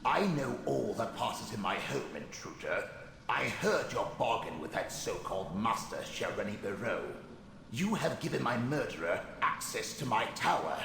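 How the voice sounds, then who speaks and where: A man's voice: mid-range voice, big pitch swings, normal speed, vocal fry. A man playing the bitter, sardonic ghost of a murdered wizard in a video game, with a weary, theatrical delivery. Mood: indignant. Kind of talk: video game character acting. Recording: long ghostly reverb, some noise.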